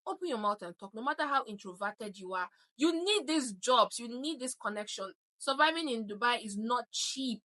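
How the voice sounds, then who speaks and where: A woman's voice: on the high side, animated, moderate pace, hardly any pauses, sharp tone. A woman in her thirties from Nigeria with a Nigerian accent, giving emphatic advice in a vlog and often checking in with "okay?". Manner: emphatic, repeats "okay?" to drive points home. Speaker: vlogger.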